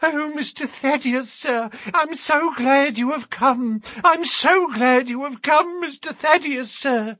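A man voicing a frantic, tearful old housekeeper woman who pleads in a high, gushing voice. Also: slow, hardly any pauses, sharp tone, dry recording, clean studio sound, full character voice. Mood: overjoyed.

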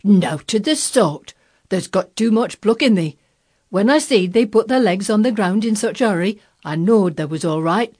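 A woman's voice: medium pitch, animated, normal speed, a little mellow, pitched above the performer's own voice, natural voice. Old male gardener for a storybook, played by a woman: Yorkshire accent, dry, grumpy, shrewd, secretly tender. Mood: dry exultation. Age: older.

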